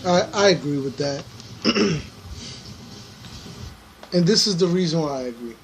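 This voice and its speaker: Hesitant young man, slightly high voice. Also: expressive, unhurried, creaky, a little hiss or hum, poor audio. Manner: hesitant, many fillers, treads carefully. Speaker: podcast co-host. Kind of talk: casual chat reacting to a video.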